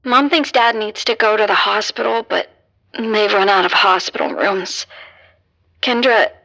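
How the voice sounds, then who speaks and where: A woman's voice: medium pitch, a little slow, gravelly, sharp tone, rough recording. An actress playing a sick, worn-out young American woman worried about her family in an audio drama. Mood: weary, worried. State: sick, coughing, exhausted.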